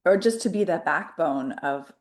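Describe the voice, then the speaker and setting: A woman's voice: fairly low pitch, animated, normal speed. A woman hosting a podcast, introducing her guest and asking questions in an upbeat, enthusiastic way. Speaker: podcast host.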